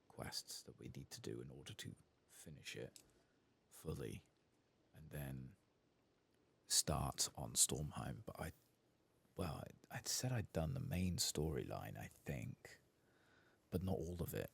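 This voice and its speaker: A very low-voiced man hosts a game playthrough in a soft, ASMR style that slips into whispering. His voice crackles with fry and moves freely up and down as he mutters his thoughts aloud. There is faint noise behind him. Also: animated, takes it slowly. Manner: hesitant, muttering to himself as he thinks aloud. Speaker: host of a video game playthrough channel.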